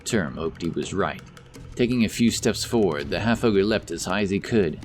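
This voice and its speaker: A man's voice: low-pitched, lively intonation, moderate pace, soft background music. A man narrating a scripted fantasy story as the storyteller of an audio drama.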